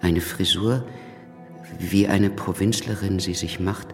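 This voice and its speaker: An actor playing a shaken revolutionary writer in a radio drama, halting and deliberate, with a stammer and dry, sardonic wit. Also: male voice, sits low, fairly even intonation. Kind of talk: radio drama first-person narration. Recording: music behind the voice.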